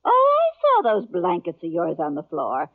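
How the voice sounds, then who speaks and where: An actress playing a brisk, no-nonsense older frontier aunt with a husky voice and tart, matter-of-fact delivery in 1950s radio western style. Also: female voice, fairly low pitch, huge pitch swings. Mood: brisk, knowing. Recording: muffled 1950s radio recording.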